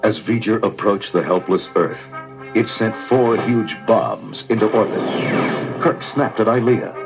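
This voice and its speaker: A man's voice: fairly low pitch, measured pace. A man giving dramatic scripted narration as the narrator of a storybook record. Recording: dull vintage record, loud music.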